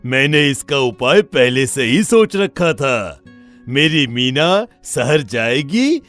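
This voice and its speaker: A man's voice: medium pitch, very animated, slow, a bit bright, faint music behind. A man playing an affectionate village father in a cartoon story, indulgent, teasing and reassuring. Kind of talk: animated horror story acting.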